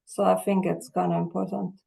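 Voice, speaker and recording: A woman from Finland speaks in a low, gravelly voice with plenty of vocal fry. She introduces herself plainly over a video call, pausing now and then. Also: flat delivery, warm tone, low-quality recording. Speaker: astrologer and teacher. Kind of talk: casual self-introduction.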